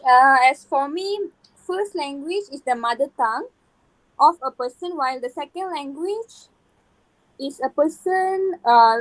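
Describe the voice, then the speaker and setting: A young woman student asking interview questions in a shy, halting way. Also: sits high, a bit bright, rough recording.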